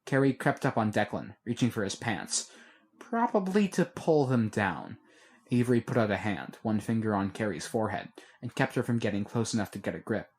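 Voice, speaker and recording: A man reading a fan-made audiobook chapter in a steady storytelling way. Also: on the low side, moderate pace, husky. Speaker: audiobook narrator.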